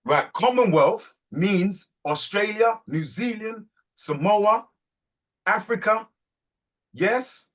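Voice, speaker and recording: A man hosting a reading group on a video call, urging and animated, repeating phrases as he presses his points. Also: sits high, slow pace.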